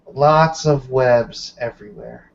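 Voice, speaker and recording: Mid-pitched man roleplaying on muffled audio. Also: takes it slowly, vocal fry. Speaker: tabletop roleplaying game player. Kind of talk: casual roleplay, in character and narrating. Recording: dull, lo-fi voice recording.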